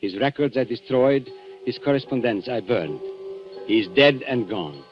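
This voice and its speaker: An actor playing a suave, coolly calculating antique dealer who kills, smooth, mock-polite and theatrical, in a 1940s radio thriller. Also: male voice, mid-range voice, lively intonation, normal speed, lightly acted. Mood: grave, confessional. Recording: dull 1940s radio recording with music.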